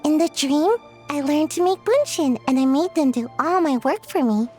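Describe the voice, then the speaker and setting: A woman's voice: lively intonation, normal speed, dark tone. A woman voicing a small, lazy, nap-loving childlike dreamer in a video game, earnest and easily flustered. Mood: dreamy, earnest. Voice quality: childlike, very high. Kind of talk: animated video game dialogue. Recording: background music.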